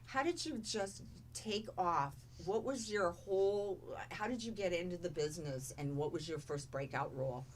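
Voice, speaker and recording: A woman's voice: lowish voice, normal speed, a little hiss or hum. A woman hosting a live podcast, introducing guests with bubbly enthusiasm in casual chat. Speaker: podcast host.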